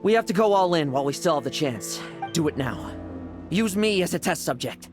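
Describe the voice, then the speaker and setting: An intense male schemer played by an actor in a video game, with a high, very animated voice. He is reckless and commanding, theatrical in delivery, with music clearly underneath. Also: fairly quick, close to an everyday voice. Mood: calculating.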